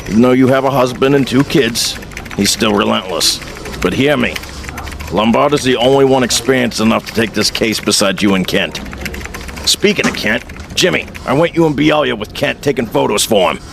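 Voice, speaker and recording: A man playing a gruff, exasperated middle-aged newspaper editor in an audio drama, brusque and wry with a gravelly voice. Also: mid-range voice, lively intonation, normal speed, background noise. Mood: brusque, apologetic.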